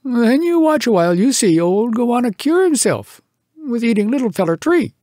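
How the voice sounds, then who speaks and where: A man voicing a lazy chieftain who gives folk advice in halting pidgin for a story poem. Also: extremely high pitch, sing-song, warm tone, no room sound, clean studio sound, voice pushed up. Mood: unhurried, knowing.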